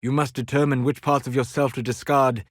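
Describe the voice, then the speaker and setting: An actor playing a composed, scholarly elven mage in a video game, speaking in a formal, measured, quietly judgmental way. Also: male voice, mid-range voice, little pitch movement, dry recording, clean studio sound, natural voice. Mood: grave, reflective. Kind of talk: video game character dialogue.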